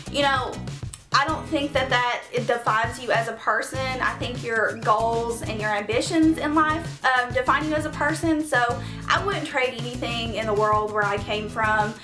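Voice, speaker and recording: A talkative young woman with a Tennessee Southern accent answers viewer questions in a relaxed vlog. Her voice sits a little high. The room is echoey, and music plays behind her. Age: 20s. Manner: chatty, earnest, frequent "um" and "like". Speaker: beauty vlogger. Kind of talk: casual Q&A vlog.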